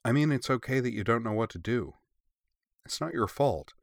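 A man voicing a sombre, reassuring girl in an audiobook story, measured and explanatory. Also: medium pitch, expressive, gravelly, no echo, clean studio sound, pitched above the performer's own voice. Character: young grown-up-sounding girl, sombre, gentle, reassuring. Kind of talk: audiobook character dialogue.

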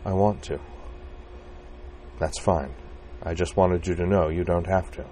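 Male reader voicing an earnest devoted young knight, deep and soft-spoken. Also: steady tone, unhurried, slight background noise. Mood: tender, earnest. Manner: soft, earnest, low-key delivery.

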